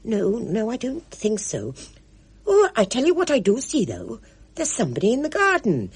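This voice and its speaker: Woman putting on small chatty old lady, high, excitable, husky edge, storybook. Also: moderate pace, exaggerated voice. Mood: cheerful chatter. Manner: excitable, fluttery, high and bright. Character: chirpy little red-faced older woman.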